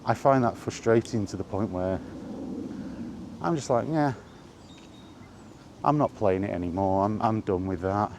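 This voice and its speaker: A man chatting casually in a rambling solo monologue, halting and musing, often repeating his phrases, recorded outdoors. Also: on the low side, background noise. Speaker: host of a gaming chat series. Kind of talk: casual rambling monologue about video games.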